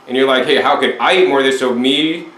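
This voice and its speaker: A man's voice: medium pitch, fast talker, a bit bright. Talkative male host in an echoey room. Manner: talkative, explains at length. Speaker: interviewer, show host. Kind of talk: casual interview. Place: home room with window, indoors. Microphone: lapel mic.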